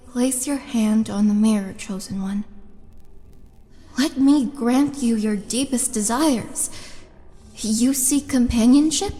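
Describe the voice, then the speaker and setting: A woman playing a tall, lonely orc oracle in an intimate roleplay audio drama, speaking softly and coaxingly with breathy, whispered touches. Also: a little high. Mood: wistful, pleading. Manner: slow, coaxing, intimate, halting. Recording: long reverb, music behind.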